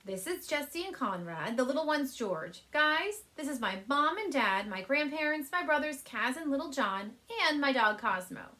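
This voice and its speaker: A woman reading aloud, voicing an excited, friendly boy ghost who chats eagerly. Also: high-pitched, moderate pace, few pauses, voice pushed up, exaggerated voice. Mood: chatty.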